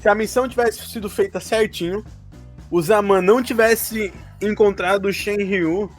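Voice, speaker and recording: A man's voice: high voice, fairly even intonation, moderate pace, faint music behind. A man with a Brazilian accent chatting casually and jokingly as a podcast co-host, often laughing. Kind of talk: casual chat looking back on a role-playing campaign.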